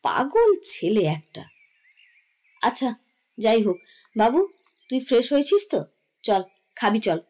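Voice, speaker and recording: Woman acting middle-aged indian mom, high pitched, fond scolding n teasing, theatrical audio drama. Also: very animated, measured pace, pauses often, darkish tone. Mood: motherly. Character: affectionate, teasing middle-aged mother and aunt. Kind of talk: Bengali audio story acting.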